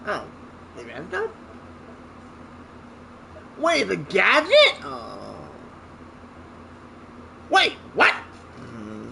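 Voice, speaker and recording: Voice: mid-range voice, huge pitch swings, drawn-out words, vocal fry, pauses often, bright, forceful tone, a little hiss or hum. A gamer giving casual gameplay commentary, with exclamatory, muttered reactions and some swearing. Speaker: gamer reacting while playing.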